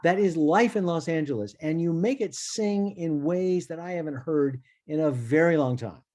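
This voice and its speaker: A man's voice: fairly high pitch, moderate pace, darkish tone, lightly acted. A man playing a blunt, exacting but encouraging music studio boss, in a play reading over a video call. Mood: frank appraisal. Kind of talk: table read of a play.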